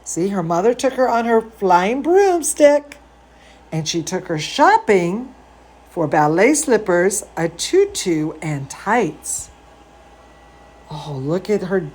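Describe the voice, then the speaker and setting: A woman reading a picture book aloud to young children, gushing and expressive, with chatty asides to her listeners. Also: medium pitch, slow pace. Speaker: dance teacher hosting a children's story time.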